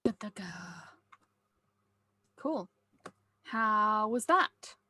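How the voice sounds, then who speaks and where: A woman's voice: a little high, extremely slow, sharp tone, no room sound. A woman hosting a table read, chatting casually with the group. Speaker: host running a table read.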